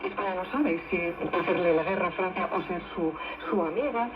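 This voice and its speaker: A woman's voice: on the low side, moderate pace, lo-fi sound. A woman, a novelist guest explaining history in a radio interview, often ending her points with tag questions. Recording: thin shortwave radio reception.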